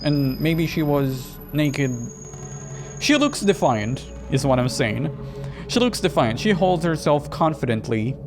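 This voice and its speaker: A man's voice: a little high, expressive, unhurried. A man reading the part of a wary, plain-spoken young woman narrating a horror visual novel. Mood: wary, frank. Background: music behind the voice.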